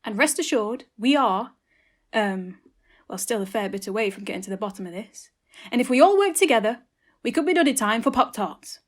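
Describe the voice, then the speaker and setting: A woman playing a showy, self-satisfied police inspector, measured and in charge, in a comedy audio drama. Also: fairly high pitch, lively intonation. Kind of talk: hobby comedy murder-mystery audio drama.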